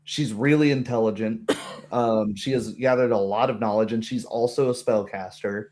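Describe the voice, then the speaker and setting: A man's voice: lowish voice, steady tone, normal speed, darkish tone. A man chatting casually about a roleplaying game as its game master, hesitant and searching for words.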